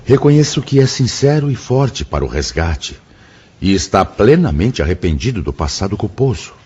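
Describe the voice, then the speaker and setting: An actor playing a wise, grave spirit mentor in a radio drama, speaking in a measured, formal, fatherly way. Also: lowish voice, big pitch swings, darkish tone, slightly rough. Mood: grave, counselling. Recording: slightly muffled radio drama with music.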